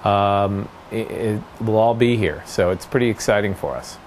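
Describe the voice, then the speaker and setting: A man's voice: on the low side, moderate pace, darkish tone, a little hiss or hum. A middle-aged man, a college sailing coach, speaking eagerly outdoors by a marina, with many fillers. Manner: enthusiastic, filler-heavy speech. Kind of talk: outdoor interview.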